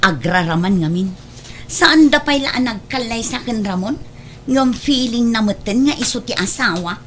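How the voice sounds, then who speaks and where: A woman's voice: a little high, expressive, normal speed, slight background noise, lightly acted. A woman with an Ilocano accent playing a scheming, spiteful adult sister in an audio drama, speaking with disdain.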